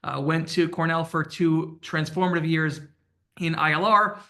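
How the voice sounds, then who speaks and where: A young man introducing himself on an alumni panel, energetic and self-deprecating. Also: a little high, moderate pace. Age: young adult. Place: campus event room.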